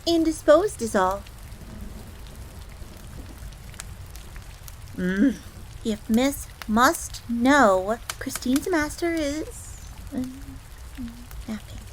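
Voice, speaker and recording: Casting: prim tsundere fox maid, played by a woman, higher-pitched, formal, jealous and devoted servant, audio roleplay with rain behind. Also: low-quality recording. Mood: flustered, defensive. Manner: formal, stiff, speaks of herself in third person. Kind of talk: scripted audio roleplay acting. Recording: steady rain noise in background. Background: rain ambience.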